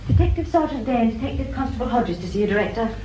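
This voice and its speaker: A woman's voice: fairly high pitch, expressive, quick pace, natural voice. Prim secretary, played by a woman, distant. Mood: dutiful, formal. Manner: crisp, formal. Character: prim, efficient, officious female secretary. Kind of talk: British radio crime drama acting. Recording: distant, echoey, noisy radio recording.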